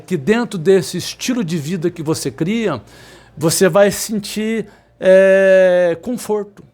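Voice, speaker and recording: A man in his fifties with a fairly high voice, coaching electronics students. He speaks insistently and repeats each point, slowing down to stress key words. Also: a little mellow. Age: 50s. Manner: repetitive, emphatic, insistent, with sudden slow stressed words. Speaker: electronics instructor. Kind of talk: motivational talk to students.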